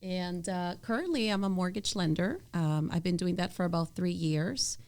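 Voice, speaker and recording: A middle-aged woman with a low-pitched voice speaks as an interview guest. She was born in Ecuador and raised in New York City, and her delivery is conversational. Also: normal speed, a little mellow, clear, resonant tone. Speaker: podcast guest, mortgage lender.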